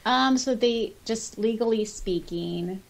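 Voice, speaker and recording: A woman's voice: a little high, takes it slowly, gravelly. A woman speaks as a podcast co-host in a casual, conversational way. She reads comments aloud and chats about them, with a light, giggly manner. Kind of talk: casual chat, reading out listener comments.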